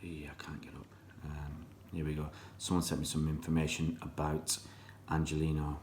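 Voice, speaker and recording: A man's voice: extremely low pitch, monotone, moderate pace, a little mellow, slight background noise. A middle-aged man chatting casually on a live stream, greeting viewers by name and rambling between comments. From born in Pakistan, raised in England. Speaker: fan channel live streamer.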